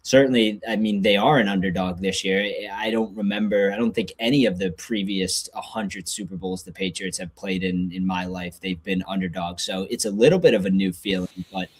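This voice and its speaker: Podcast guest, young male, lowish pitch, casual fan analysis of sports. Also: steady tone, normal speed, few pauses, slightly rough.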